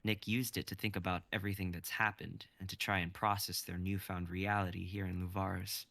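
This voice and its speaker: A man narrating a script and its stage directions in an even, descriptive way. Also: low-pitched, fairly quick, vocal fry, dry recording. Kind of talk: reading a script aloud.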